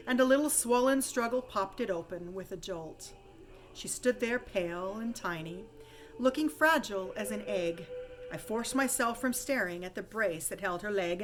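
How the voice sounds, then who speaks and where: A woman reading a rhyming holiday story poem aloud with expressive, dramatic storytelling emphasis. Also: medium pitch, a little hiss or hum. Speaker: poem reader.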